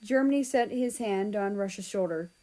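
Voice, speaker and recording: A woman's voice: mid-range voice, normal speed, dark tone. A woman narrating a written story aloud, reading out the prose and the dialogue tags. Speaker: fan fiction reader.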